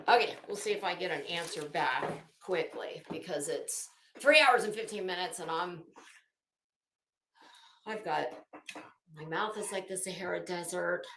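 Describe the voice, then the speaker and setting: A woman's voice: fairly low pitch, moderate pace, long pauses, fairly bright tone, a little hiss or hum. A woman giving casual, opinionated, rambling commentary as an online host, gossipy and emphatic, stretching out the words she stresses. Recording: roomy, echoing room sound.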